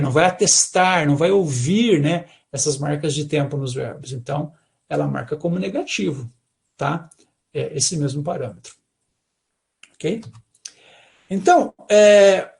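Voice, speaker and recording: A man's voice: high-pitched, gravelly, a little mellow. A man with a Brazilian Portuguese accent giving an academic talk over a video call, explaining step by step and checking in with listeners often. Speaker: lecturer.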